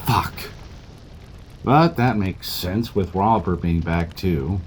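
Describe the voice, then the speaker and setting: An actor in an audio drama playing a gruff, sardonic tough guy who comes to the rescue, wry and urgent. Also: deep voice, takes it slowly, slight background noise.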